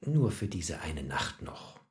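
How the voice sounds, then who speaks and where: An actor playing a wry, bookish narrator, a poor postwar settler, in literary first-person narration. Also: male voice, low-pitched, big pitch swings, slow, very noisy, heavily acted. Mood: wry, matter-of-fact. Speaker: narrator of a literary radio play.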